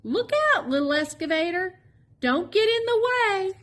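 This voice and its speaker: A woman reading a children's picture book aloud expressively, in a sing-song, drawn-out way with playful emphasis on sound words. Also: very high voice, animated, a bit bright. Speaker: storybook reader at a public library.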